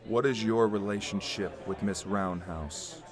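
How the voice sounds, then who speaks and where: A menacing lawman, played by an actor, curt and intimidating as he interrogates. His voice is on the low side, set in a noir radio play with clear bar chatter around him. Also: measured pace, close to an everyday voice. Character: stern, menacing male police detective. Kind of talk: noir audio drama acting.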